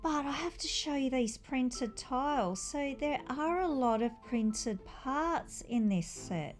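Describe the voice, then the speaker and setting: Woman, high voice, unhurried pace, casual unboxing commentary, enthusiastic, informal, music behind. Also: expressive. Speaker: toy brick set reviewer.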